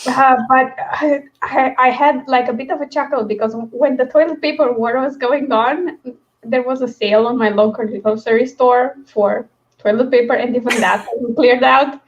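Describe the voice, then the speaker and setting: A woman's voice: high-pitched, steady tone, normal speed, muffled recording. A woman hosting a casual book stream, chatting in a rambling, giggly way. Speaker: host of a book read-along stream.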